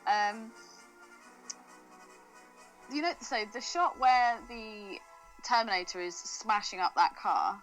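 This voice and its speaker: A woman's voice: mid-range voice, expressive, slow pace, long pauses, fairly bright tone, faint music behind, low-quality recording. A woman with a British accent co-hosting a podcast, chatting casually about films with jokey, sweary banter. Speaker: podcast co-host.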